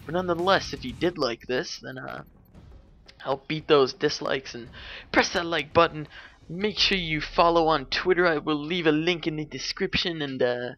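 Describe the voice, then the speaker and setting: A man's voice: on the high side, normal speed, a bit bright. A young adult man from Canada giving casual, rambling commentary over gameplay, chatty and full of fillers and restarts. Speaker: gaming commentator.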